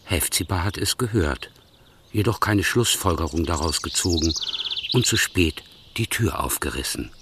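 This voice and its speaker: A man narrating a literary radio play in a husky voice, measured and musing. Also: low voice, expressive, very noisy.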